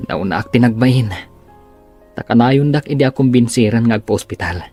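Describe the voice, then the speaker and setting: A man playing an earnest, stubborn young hospital patient in an Ilocano radio drama, with a husky voice. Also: medium pitch, lively intonation, moderate pace, mellow, faint music behind, natural voice.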